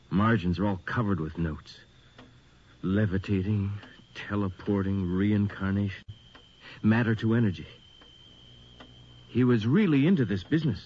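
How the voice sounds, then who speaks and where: An actor playing an inquisitive, wry and uneasy investigator in a radio horror drama, speaking in an expressive, questioning way. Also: male voice, lowish voice. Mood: fascinated. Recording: dull, noisy old radio recording.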